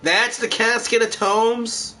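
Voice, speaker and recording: A man's voice: extremely high pitch, flat delivery, slow, sharp tone, slight background noise. A man reading game text aloud as he plays through a video game walkthrough. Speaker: game streamer.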